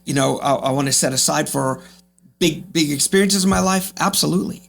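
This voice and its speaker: A man's voice: a little high, fairly quick, gravelly. A man in his fifties speaking as an interview guest, reflective and emphatic, repeating phrases for effect. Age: 50s. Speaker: podcast guest, real estate agent.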